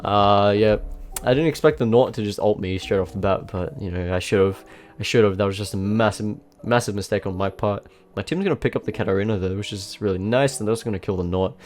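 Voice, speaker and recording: A man with a blocked nose and a slightly nasal voice, giving relaxed, self-mocking voice-over commentary on his own match. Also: on the low side, a little fast, hardly any pauses. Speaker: gameplay commentator. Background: faint background music.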